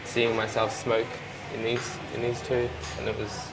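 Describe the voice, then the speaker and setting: A young Australian man, a fashion model, answering interview questions in a relaxed, hesitant way with many restarts. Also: mid-range voice, unhurried, gravelly. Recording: loud ambient noise behind.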